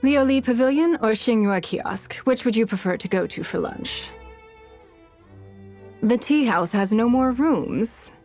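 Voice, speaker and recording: A woman voicing a poised, calculating, wealthy noblewoman in a fantasy video game, speaking in a measured, formal and haughty manner. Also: fairly high pitch, expressive, darkish tone, faint music behind. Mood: composed, commanding. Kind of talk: video game character voice lines.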